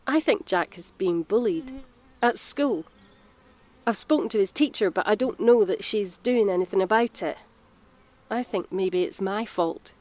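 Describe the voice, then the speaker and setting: A woman's voice: medium pitch, a little slow, darkish tone, lightly acted. Woman acting a harried, guilt-ridden Scottish mum. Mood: anxious, confiding. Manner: confiding, self-reproachful. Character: harried, guilt-ridden mother of a nine-year-old boy. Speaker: actor in an audio drama. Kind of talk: naturalistic audio drama dialogue.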